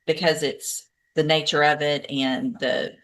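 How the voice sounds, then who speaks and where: A woman serving as judge in a courtroom, speaking in a measured, formal, matter-of-fact way. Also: low voice, fairly even intonation, a bit bright. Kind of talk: courtroom proceedings.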